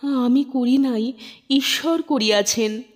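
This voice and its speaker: A woman's voice: high-pitched, slow. Woman acting a humble, pleading old manservant. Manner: reverent, tearful pleading. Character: humble, pleading old male servant confessing his guilt.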